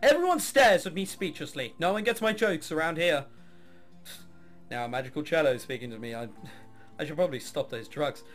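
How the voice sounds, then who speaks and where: A man's voice: on the high side, expressive, a little fast, fairly bright tone, faint music behind. A man hosting a visual novel let's play, reading game text aloud and adding casual, wry, irreverent asides.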